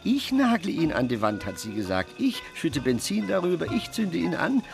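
An actor playing a gruff, cynical middle-aged father in a radio drama, telling his tales emphatically and with foul-mouthed agitation. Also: on the high side, very animated, normal speed, faint music behind. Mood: animated, wry.

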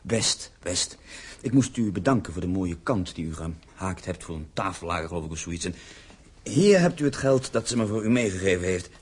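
An actor in a radio drama playing a polite, casual young nephew. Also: male voice, low-pitched, lively intonation, moderate pace, darkish tone, slightly rough. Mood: friendly, casual.

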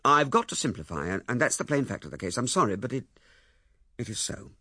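A man voicing an awkward young man who talks in halting, stammering bursts. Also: mid-range voice, big pitch swings, dry recording, studio quality. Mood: blunt. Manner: halting, stammering, loud and blunt.

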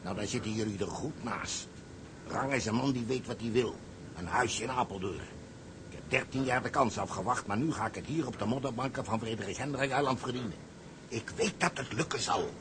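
Guy doing bossy scheming ship captain in a radio play, super sure of himself, theatrical, bit of rumbly noise. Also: fairly quick, natural voice. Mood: boastful, confident. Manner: confident, theatrical, commanding. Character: cocksure, scheming salvage captain giving orders. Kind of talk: Dutch radio play acting.

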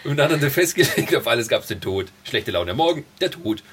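A man with a mid-pitched voice chats as a podcast co-host, explaining in a halting, word-searching way. The recording has faint noise and clicks behind him. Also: very animated, a bit bright. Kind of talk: casual group chat, explaining.

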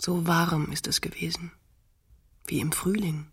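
A creaky-voiced woman in a radio play. She is practical and impatient, pressing her partner in short, insistent phrases with a husky edge. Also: on the low side, steady tone, a little slow, full character voice. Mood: wistful.

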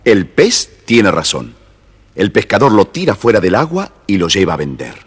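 A man's voice: lowish voice, huge pitch swings, moderate pace, slightly rough. A man with an Argentine accent narrating a scripted children's tale, speaking directly to young listeners. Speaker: storyteller, narrator.

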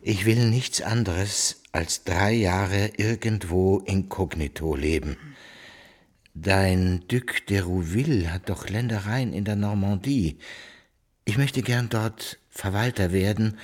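An actor playing a ruined, world-weary old baron in a radio drama, pleading in a theatrical way. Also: male voice, sits low, slow pace, heavily acted. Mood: subdued insistence. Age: older.

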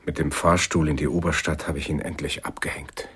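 A defiant, haunted jazz pianist in a radio crime drama. The voice is extremely deep, with a terse, brooding delivery. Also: male voice, level tone, a little fast. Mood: urgent, brooding.

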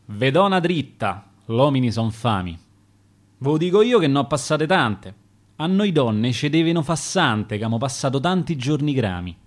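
A man's voice: medium pitch, very animated, moderate pace, close to an everyday voice. A man playing a bitter, wronged and outspoken wife, complaining in verse with a Roman accent.

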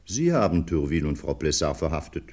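Jovial, unruffled male doctor for crime radio drama; low, animated voice. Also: fairly quick, heavily acted. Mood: amused, unruffled. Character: genial, teasing male doctor, inspector's chess partner. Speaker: actor in a crime radio play.

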